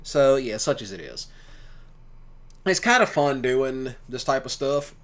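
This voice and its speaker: Man, mid-range pitch, folksy, colloquial, casual rambling creator commentary. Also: big pitch swings, a little slow, fairly bright tone. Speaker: streamer and ASMR audio creator.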